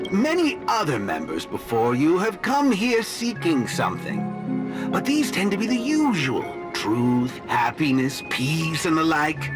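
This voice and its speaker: A woman playing a serene, cryptic cult guide in a game, formal, measured and politely persuasive. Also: low-pitched, full character voice. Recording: game music underneath.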